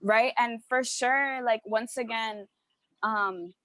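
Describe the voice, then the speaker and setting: A woman's voice: a little high, steady tone, slow, fairly bright tone, no room sound. A woman from New York speaking candidly on a video-call panel, emphatic and casual, with the odd swear word. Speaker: panelist artist.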